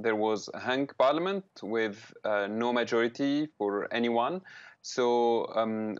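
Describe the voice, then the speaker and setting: A man in his thirties, a political analyst, answering a live news interview over a video call from a room lined with bookshelves, speaking in measured phrases with many ums and restarts. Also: medium pitch. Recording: slightly dull video-call audio.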